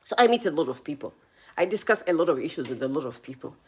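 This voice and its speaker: A woman's voice: low-pitched, fast, slightly rough. A woman from Zambia hosting a live stream, giving motivational advice and speaking straight to her viewers. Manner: animated, speaks straight to viewers, greets chat by name.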